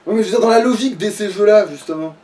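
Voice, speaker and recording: A man's voice: sits high, racing, mellow, distant mic. A man chatting casually as he plays a video game, grumbling and swearing. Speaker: let's player playing the game. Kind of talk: casual gaming chat, live play commentary.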